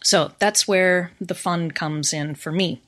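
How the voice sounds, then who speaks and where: A middle-aged woman with an American accent speaks as a university professor on a podcast. Her voice is a little low for a woman, and she explains things in a friendly, relaxed way. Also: takes it slowly. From United States. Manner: friendly, laughs often while explaining. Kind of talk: casual podcast conversation.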